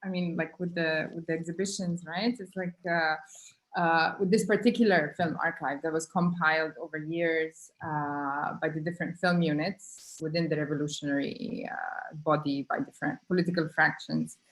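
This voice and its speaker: Conversational woman with a lowish voice. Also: steady tone, normal speed, rough recording. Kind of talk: casual unscripted discussion.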